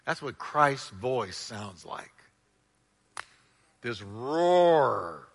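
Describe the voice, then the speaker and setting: A pastor preaching a sermon in a loud voice, with emphatic, dramatic delivery and stressed, drawn-out words. He calls on the congregation to repeat phrases after him. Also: medium pitch, big pitch swings, vocal fry, husky. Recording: long hall reverb.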